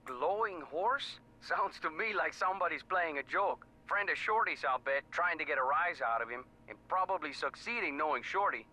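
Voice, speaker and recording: An actor playing a folksy, plain-spoken small-town sheriff in a video game. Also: male voice, on the high side, expressive, normal speed, few pauses, bright, forceful tone, full character voice, rough recording. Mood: amused, assured.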